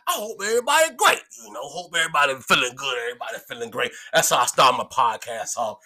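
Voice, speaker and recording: A man hosting a casual comedy podcast, talking with a stutter, joking around and breaking into loud outbursts. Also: medium pitch, lively intonation, fast. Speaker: podcast host.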